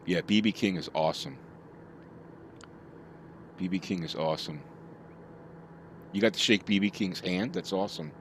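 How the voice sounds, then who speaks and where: A man's voice: sits low, moderate pace, long pauses, slight background noise. A middle-aged man chatting casually with his live chat while he plays, rambling from topic to topic. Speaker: streamer.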